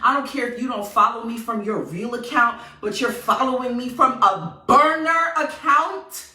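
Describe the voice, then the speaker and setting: A woman's voice: fairly high pitch, moderate pace, echoey room, recorded from a distance. A woman from New York with a New York accent, ranting passionately and sassily straight to her audience with emphatic repetition. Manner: animated, sassy, emphatic repetition. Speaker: vlogger. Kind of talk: passionate direct-to-camera rant.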